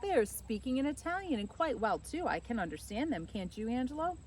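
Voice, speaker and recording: Woman as an eager, curious, animated teenage boy. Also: on the high side, brisk, distant mic, a little hiss or hum, pitched above the performer's own voice. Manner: questioning, excitable. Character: eager, curious, cheeky fourteen-year-old Italian boy. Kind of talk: children's storybook character reading.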